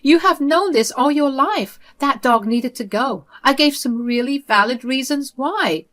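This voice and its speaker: A woman's voice: high voice, measured pace, fairly bright tone, voice pushed up. A woman voicing a self-righteous older mother-in-law, whiny and indignant, in a read-aloud story.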